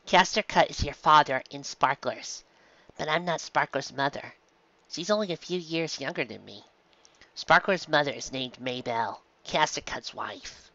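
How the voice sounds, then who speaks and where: A gentle pony mother, played by a man reading aloud, speaks softly and haltingly in a slightly lifted voice. She sounds apologetic and weighed down by guilt, as in a storybook, on a dull, lo-fi recording. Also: a little high, lively intonation. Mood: explaining. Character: guilt-ridden, gentle adult pegasus mare mother. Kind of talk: fan fiction audiobook character voice.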